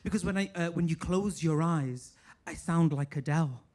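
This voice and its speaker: A man from England with a northern English accent doing a stand-up set in a comedy club, mock-posh and deadpan with sudden high squeals. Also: normal speed. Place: comedy club stage with a laughing audience. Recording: live room with crowd noise. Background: audience laughter and applause.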